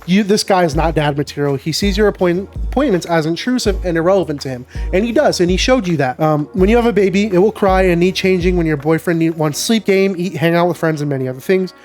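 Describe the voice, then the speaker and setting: A young man with a slightly higher voice, nasal and stuffed up from a sinus infection. He gives advice to the camera in an emphatic, opinionated way, with soft music playing faintly under him. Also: fast talker, few pauses. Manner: opinionated and emphatic, talking straight to the viewer. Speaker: advice show host. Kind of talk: casual advice vlog, talking to camera.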